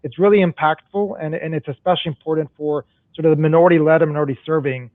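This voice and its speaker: A man's voice: a little high, steady tone, quick pace, no room sound. A man on a discussion panel, a banker explaining community development finance step by step, often restarting his sentences and adding "right?". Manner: explanatory, many restarts and tag questions. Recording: dry, phone-like remote audio.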